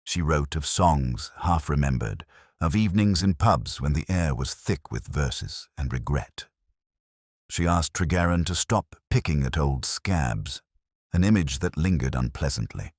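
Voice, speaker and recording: A man with an exceptionally low voice narrates a literary detective story. His delivery is formal and measured, yet his intonation moves widely. The sound is dry and close, with no room around it. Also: animated, no room sound. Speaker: audio drama narrator. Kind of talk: scripted first-person literary mystery narration.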